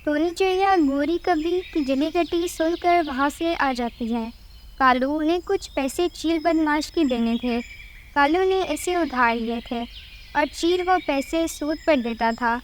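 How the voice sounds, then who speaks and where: A woman's voice: sits high, unhurried, a little hiss or hum. A woman reading scripted narration for a children's cartoon moral story.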